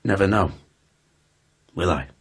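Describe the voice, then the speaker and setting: A man playing a weary, defensive suspect under questioning in a naturalistic radio drama, answering haltingly. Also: deep voice, breathy edge. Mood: guarded, subdued. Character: defensive, weary murder suspect insisting he's someone's son.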